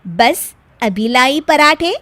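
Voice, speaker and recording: A woman voicing a cheerful, cheeky young daughter-in-law in a story, replying in sing-song rhymes with drawn-out endings. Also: sits high, lively intonation, sharp tone, full character voice. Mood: playful, cheerful.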